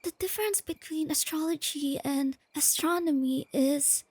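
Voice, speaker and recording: A woman playing a shy, nerdy, tender young girlfriend in a soft-spoken ASMR roleplay, with a breathy near-whisper and hesitant, gently stammering delivery, crickets chirping behind her. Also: high voice, normal speed, dry recording, close to an everyday voice. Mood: earnest, gently teasing. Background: crickets and night insects.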